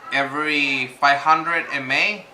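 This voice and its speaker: A man's voice: on the high side, fairly even intonation, takes it slowly, sharp tone. A man giving a casual unboxing review to viewers, speaking hesitantly and slipping between two languages. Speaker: vlogger. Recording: echoey room with faint background noise.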